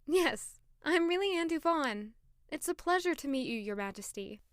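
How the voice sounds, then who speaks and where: A woman's voice: sits high, lively intonation, moderate pace, dry recording, studio quality. A woman playing an anxious, earnest young maid turned lady-in-waiting in an audio drama, introspective in manner. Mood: deferential. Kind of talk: audio drama dialogue and first-person narration. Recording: music behind the voice.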